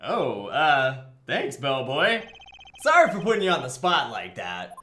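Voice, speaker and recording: A man's voice: medium pitch, huge pitch swings, measured pace, sharp tone, echoey room, heavily acted. A man voicing a goofy, sarcastic young defense lawyer in a game fan dub, wisecracking with exclamations and muttered asides. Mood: baffled, sarcastic. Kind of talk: fan-dub visual novel character acting.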